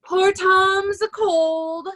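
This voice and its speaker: A woman playing a raving mad beggar in an amateur Shakespeare reading, wild and chanting with drawn-out nonsense. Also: very high voice, steady tone, bright, forceful tone, full character voice. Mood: crazed, haunted. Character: Edgar feigning a raving mad beggar, Poor Tom.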